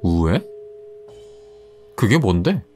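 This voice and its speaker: A brusque young classmate played by a man who speaks in a lowish, lively voice. He is dismissive and teasing, with soft music underneath. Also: very slow, exaggerated voice. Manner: brusque, mocking.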